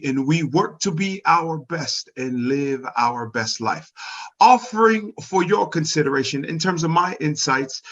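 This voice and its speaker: A man with a slightly high voice hosts an interview show. His delivery is effusive and gracious, with hesitant pauses. The sound is a bit dull and lo-fi. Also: a bit bright, husky. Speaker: show host. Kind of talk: radio show introduction and interview. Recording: dull lo-fi audio.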